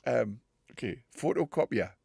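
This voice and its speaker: Self-mocking British man with lively pitch. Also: moderate pace, gravelly, husky, no room sound, studio quality. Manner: self-mocking, laughs often, switches in and out of accents. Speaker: voice-challenge vlogger. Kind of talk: casual comedic accent attempts.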